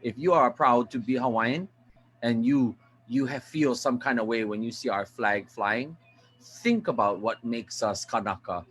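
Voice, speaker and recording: A man's voice: medium pitch, measured pace, fairly bright tone. A man on a panel discussion, speaking in an impassioned way and appealing directly to listeners.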